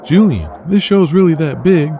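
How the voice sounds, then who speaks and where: Actor as animated, eager wrestling booker. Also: male voice, a little high, moderate pace. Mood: persuading. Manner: informal, persistent, suggesting. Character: eager, loyal male wrestling booker, easily talked down. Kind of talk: audio drama acting.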